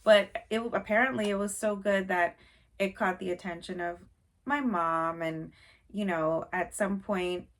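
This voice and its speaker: A woman's voice: mid-range voice, fairly even intonation, a little slow. A young woman from Haiti, chatting casually to camera in her first vlog, a little nervous and full of fillers. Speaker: vlogger.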